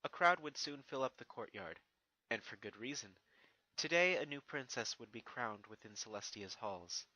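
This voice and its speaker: A man's voice: mid-range voice, lively intonation, normal speed, pushed and bright, low-quality recording. A man reading a scripted story as its narrator. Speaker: fan fiction narrator.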